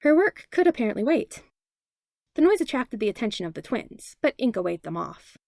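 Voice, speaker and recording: A woman reading fan fiction aloud as a podfic narrator, with expressive, animated storytelling. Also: on the high side, fairly quick, gravelly, no room sound, clean studio sound. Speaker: podfic reader.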